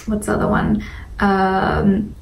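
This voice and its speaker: A young woman talks in a loose, informal vlog style with lots of filler words. Her pitch is average for a woman. The sound is echoey and a little distant, with faint noise behind her. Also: completely flat delivery, slow pace, gravelly. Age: young adult. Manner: informal, rambling, filler-heavy with frequent "like". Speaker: vlogger. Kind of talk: casual chat to viewers. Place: indoor room at home with a sunlit window. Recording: roomy echo, distant mic, faint noise.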